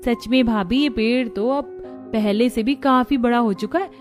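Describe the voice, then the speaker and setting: Woman as a high-voiced helpful young sister-in-law. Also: moderate pace, soft background music, lightly acted. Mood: helpful, chatty. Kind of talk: cartoon story dialogue.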